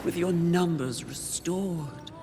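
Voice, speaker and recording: An actor playing a sly tempter demon of lust in a video game cutscene, with seductive, mocking, drawn-out phrasing. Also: male voice, a little high. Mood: mocking, gleeful. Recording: loud music under the voice.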